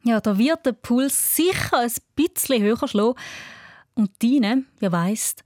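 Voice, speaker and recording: A woman's voice: a little high, lively intonation, measured pace, a little mellow, no room sound. Woman hosting radio, very dry sound. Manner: addresses the listener directly and informally. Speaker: radio host. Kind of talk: children's radio show moderation. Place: radio studio. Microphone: close studio microphone.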